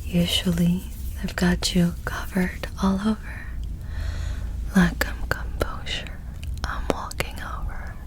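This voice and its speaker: A woman doing ASMR, softly singing and whispering song lyrics in a breathy, intimate way. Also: lowish voice, level tone, slow pace. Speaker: ASMR performer.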